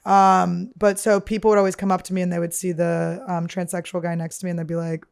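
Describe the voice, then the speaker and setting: A woman with a deep, damaged-sounding voice hosts a comedy podcast from a studio. She rambles nonstop through crude, self-mocking stories. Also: level tone, fast, vocal fry, darkish tone, clear, resonant tone. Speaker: podcast host.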